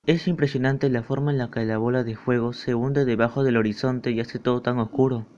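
A man's voice: medium pitch, fairly even intonation, fairly quick, a little mellow, lightly acted. Man voicing an earnest anime schoolboy, dull lo-fi sound. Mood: soft, reflective. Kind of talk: anime fandub acting. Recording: dull lo-fi audio with music behind. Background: music.

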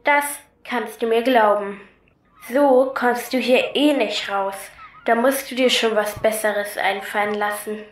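A woman's voice: a little high, steady tone, unhurried, slight background noise, close to an everyday voice. A woman playing a matter-of-fact, resigned captive girl from a child gang in a radio play, dry and blunt. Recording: roomy echo.

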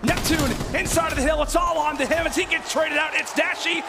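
A man giving fast live play-by-play commentary on an esports match, pushing into shouts at the big moments. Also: extremely high pitch, steady tone, very noisy. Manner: rapid, rising to shouts at big plays. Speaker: esports caster. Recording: broadcast over loud music.

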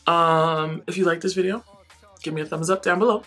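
A woman's voice: lowish voice, fairly even intonation, brisk, fairly bright tone, faint music behind. A woman in her thirties chatting playfully through a wig tutorial in her bedroom. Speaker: beauty vlogger. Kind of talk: casual wig tutorial and chat. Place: bedroom with shelves, indoors.

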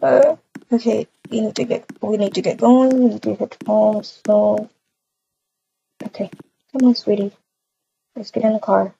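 A woman's voice: mid-range voice, steady tone, measured pace, gravelly, long pauses, mellow, slightly rough, exaggerated voice. A woman playing an affectionate, harried young mother in a game roleplay, murmuring hesitantly as she thinks aloud. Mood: mildly hurried, then gently coaxing. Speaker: roleplay video creator. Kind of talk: improvised game roleplay, muttering to herself and a child. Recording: dull lo-fi mic with noise, clicks.

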